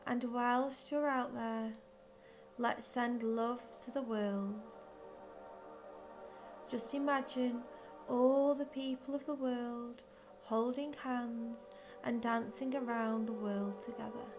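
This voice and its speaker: A woman leading a guided visualisation in scripted narration, with measured, gentle phrasing and long pauses. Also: on the high side, steady tone, music in the background. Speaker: guided meditation leader.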